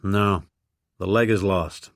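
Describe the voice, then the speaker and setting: Guy reading as a terse young frontier man, flat, blunt. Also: low voice, takes it slowly, creaky, dry recording, clean studio sound, heavily acted.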